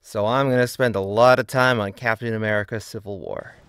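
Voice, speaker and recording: A man's voice: on the low side, steady tone, a little fast, fairly bright tone, clean tone, no room sound, studio quality. A man delivering scripted video-essay narration with casual asides, in an opinionated, emphatic and wry manner.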